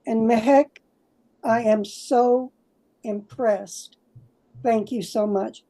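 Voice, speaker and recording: A woman's voice: fairly high pitch, fairly even intonation, takes it slowly, mellow. A woman, a coach, offering greetings and thanks on a video call. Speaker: coach and organization executive.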